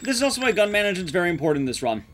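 A man's voice: high voice, very animated, rapid-fire, a bit bright, slight background noise. A man giving casual live commentary as he plays a game, swearing and muttering asides to himself. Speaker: streamer, speedrunner. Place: indoor room, streaming setup.

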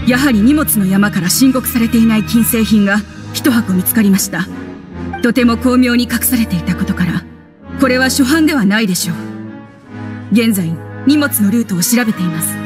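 A woman's voice: a little high, normal speed, music in the background, close to an everyday voice. An actress playing a dutiful female prison guard in a game, reporting formally. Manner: formal, crisp military reporting. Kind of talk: Japanese game voice acting.

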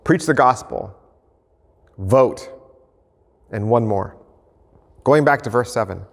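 A man in his fifties, an American pastor, preaching an earnest, measured sermon to camera from an empty church sanctuary. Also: medium pitch, creaky. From United States. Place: empty church sanctuary, indoors, mid-sized. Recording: reverberant church hall.

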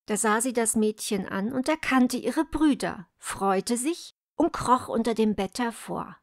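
A woman narrates a fairy tale from a script. She takes it at a slow, measured pace with a slightly high pitch. The recording is dry, with hardly any room sound. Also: animated, dry recording. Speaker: storyteller.

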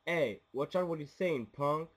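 A man's voice: on the high side, a little slow. A man playing a proud, hot-headed gangster father in a cocky manner in an amateur radio play. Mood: cocky, threatening.

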